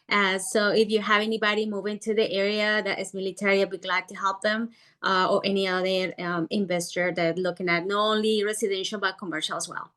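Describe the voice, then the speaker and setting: Lady, slow intro with lots of ums, video call. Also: medium pitch, fairly bright tone. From Colombia. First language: Spanish. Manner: slow, hesitant self-introduction with fillers. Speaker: real estate agent.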